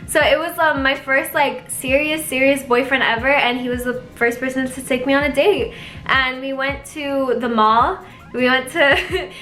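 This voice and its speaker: Chatty young woman, bright and high. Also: echoey room. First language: Spanish. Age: young adult. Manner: chatty, filler-heavy, giggly asides. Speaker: YouTuber. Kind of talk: casual vlog chat.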